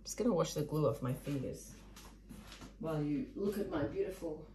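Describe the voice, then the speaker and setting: A woman hosting a casual craft tutorial with a hoarse, croaky voice, chatting and muttering asides as she works at a table. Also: sits low, expressive, normal speed, warm tone, slight background noise, low-quality recording. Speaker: craft video host. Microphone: overhead camera above a table, hands only.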